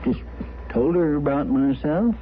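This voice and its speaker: An actor playing a meek, pleading deputy in a 1950s radio western, stammering in a folksy rural American drawl. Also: male voice, a little high, animated, unhurried. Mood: sheepish, pleading. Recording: tinny, noisy old radio recording.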